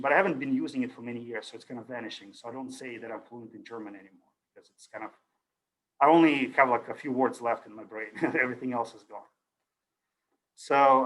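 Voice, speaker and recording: A man's voice: medium pitch, a bit bright, poor audio. A middle-aged man from Ukraine, a native Russian speaker, giving a casual university lecture over a video call, rambling with many fillers and restarts.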